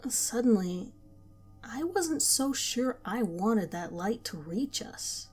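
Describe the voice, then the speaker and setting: A woman narrating a first-person horror story in a calm, measured storytelling manner. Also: fairly high pitch, husky. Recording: faint background music.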